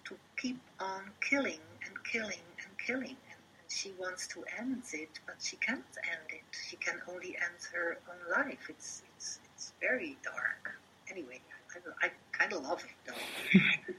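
A woman with a Dutch accent, a performance artist being interviewed, talking in a halting, reflective way and sometimes dropping into a whisper. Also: lowish voice, lively intonation, sharp tone, a little hiss or hum, low-quality recording. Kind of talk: casual interview chat.

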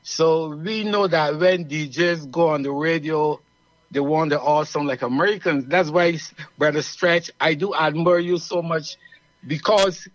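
A man's voice: a little high, measured pace, no room sound. Earnest Barbadian man, muffled dry sound. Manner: earnest, explaining at length. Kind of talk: casual radio chat.

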